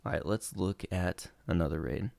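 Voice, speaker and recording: A young man walks through his game replays with relaxed play-by-play, his low voice gravelly and frayed as he loses it. The sound is dry and close, with no room around him. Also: normal speed, no echo. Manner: relaxed play-by-play with frequent uh fillers. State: losing his voice. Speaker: gaming channel host. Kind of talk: commentating over gameplay replays.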